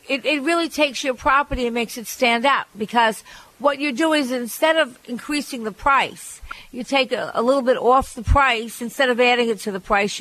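Woman radio host giving frank advice, kinda high voice, talks chatty and restarts stuff, dead dry sound. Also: a bit bright, dry recording, poor audio. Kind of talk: advice call-in radio talk.

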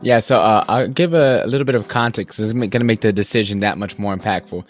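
A young man in his twenties, a guest entrepreneur, telling his story in an interview in an earnest, fast-flowing way with many "you know"s and "right?"s. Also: lowish voice. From United States. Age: young adult.

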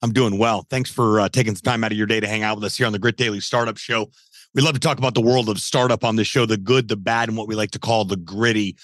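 Low-voiced male podcast host, dry sound. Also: fairly even intonation, quick pace, a bit bright, dry recording. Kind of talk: podcast hosting and interviewing.

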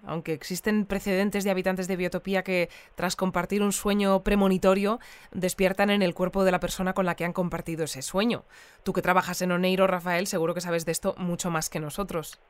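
A composed female radio news presenter, played by an actress in an audio drama. Her voice is smooth and resonant, with measured, articulate delivery. The sound is close and dry, like a studio interview. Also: medium pitch, fairly even intonation, no echo, natural voice. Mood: composed, inquiring.

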